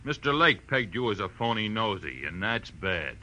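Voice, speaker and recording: A man's voice: on the low side, sing-song, normal speed, pushed and bright, heavily acted. An actor playing a huge, menacing thug with slangy, taunting tough-guy talk in an old radio crime drama. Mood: taunting menace. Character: huge menacing male thug in a sharkskin suit.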